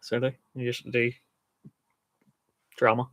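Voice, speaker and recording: A man's voice: mid-range voice, completely flat delivery, moderate pace, fairly bright tone, no echo. A man co-hosting a casual livestream chat, with a slight lisp, talking through his teeth and laughing often. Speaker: co-host.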